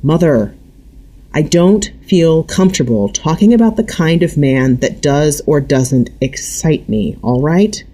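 A guarded grown daughter who pushes back with clipped, strained replies, voiced by a woman reading a novel. Her voice rises above the reader's usual low pitch and moves a great deal. It carries a husky edge. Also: animated, a little slow, dark tone. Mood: firm. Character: tense adult daughter, guarded and defensive.

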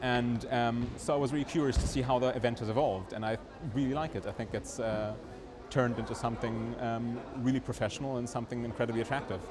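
A man, a research fellow, speaking briskly and enthusiastically about an exhibition. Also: medium pitch, fairly even intonation. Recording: chattering crowd behind.

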